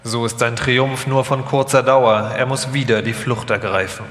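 A man's voice: medium pitch, steady tone, normal speed, fairly bright tone, a little hiss or hum. Male documentary narrator in an echoey hall. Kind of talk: scripted narration, live radio feature. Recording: echoey hall, live stage performance.

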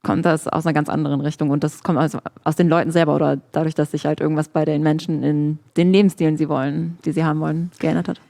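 A woman with a notably deep voice poses a long, winding question as moderator. She hesitates now and then as she works toward her point. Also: flat delivery, fast talker, creaky, few pauses, mellow.

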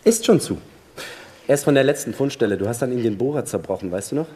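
A man's voice: mid-range voice, lively intonation, fast, close to an everyday voice. A man playing a pedantic, sardonic astronaut on the moon in a staged radio-play reading. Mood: matter-of-fact. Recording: loud background noise and clicks.

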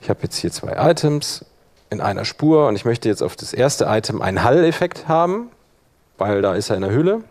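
A man presenting a hands-on software workshop live, informally thinking aloud as he demonstrates each step. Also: mid-range voice, sing-song, fairly quick.

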